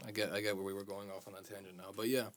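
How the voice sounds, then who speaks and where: A man's voice: fairly low pitch, little pitch movement, rapid-fire. A man in his twenties, a musician, chatting casually on a podcast in an emphatic, slangy way, calling people "dude" and "man.". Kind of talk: casual chat.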